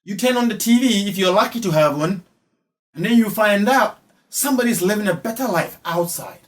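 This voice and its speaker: A man's voice: high voice, animated, fairly quick. A man from Ghana with a Ghanaian accent giving spoken social commentary, emphatic and repeating phrases for effect. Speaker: online commentator.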